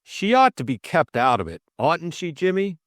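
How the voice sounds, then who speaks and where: A man's voice: fairly high pitch, huge pitch swings, normal speed, a bit bright, no room sound, clean studio sound, pitched above the performer's own voice. A man voicing an anxious, earnest upper-class young man in an audiobook drama, hesitant and full of old-fashioned exclamations. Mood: agitated, pleading.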